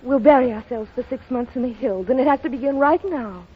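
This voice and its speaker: A woman's voice: sits high, a little fast, husky. An actress playing a devoted, headstrong young woman in 1930s radio drama style, earnest and emotional. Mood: earnest, resolute. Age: young adult. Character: devoted, headstrong grown daughter in love. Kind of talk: 1930s American radio acting. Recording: dull lo-fi 1930s radio broadcast.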